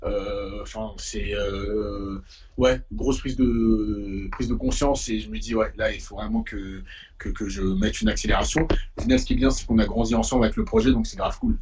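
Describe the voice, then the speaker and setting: A young man talks casually in an interview, his voice very husky and gravelly. He speaks in a slangy, halting conversational way, and the audio sounds a little muffled. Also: on the low side, a little mellow. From Paris, France. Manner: informal, slangy, hesitant storytelling. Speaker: musician being interviewed.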